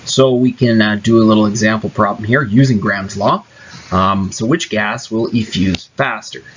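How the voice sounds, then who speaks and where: A man's voice: lowish voice, normal speed, slight background noise. A man teaching chemistry, explaining each step patiently in a casual tone with small fillers. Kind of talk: classroom explanation. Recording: dull lo-fi recording.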